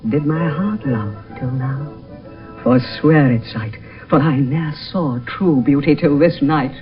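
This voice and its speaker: A man playing an earnest, lovestruck young gentleman, speaking lyrical verse in a 1970s radio play. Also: a little high, takes it slowly. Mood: awed, lovestruck. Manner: lyrical, poetic. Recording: muffled distant radio broadcast, music behind.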